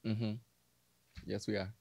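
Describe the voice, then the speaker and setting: A man's voice: fairly low pitch, little pitch movement, slow pace, vocal fry, darkish tone, no room sound. A man in his thirties chatting casually as a co-host in a podcast studio. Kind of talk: casual chat. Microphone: studio microphone on arm.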